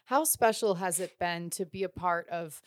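A woman's voice: fairly low pitch, moderate pace, dry recording, studio quality. A woman hosting a sports podcast and asking questions in a conversational way. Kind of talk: conversational interviewing.

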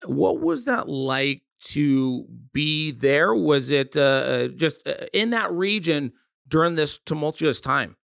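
A man's voice: fairly high pitch, lively intonation, slow, gravelly, a bit bright, no echo, studio quality. A man hosting a sports interview, asking loose, rambling questions full of restarts. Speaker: podcast host.

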